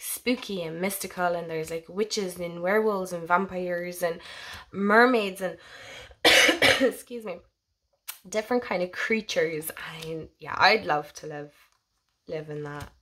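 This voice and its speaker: A young woman with a hoarse voice from a head cold, chatting casually and gushingly as she shows her tarot decks on her own channel. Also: on the low side, a little slow, fairly bright tone. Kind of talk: casual show-and-tell vlog.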